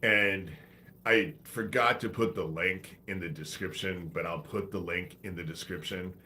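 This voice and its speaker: Deep-voiced man with a stuffy head cold. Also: steady tone, a little slow, bright, forceful tone, slight background noise. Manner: loose, profane, meandering. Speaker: livestream host. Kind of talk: rambling casual livestream talk.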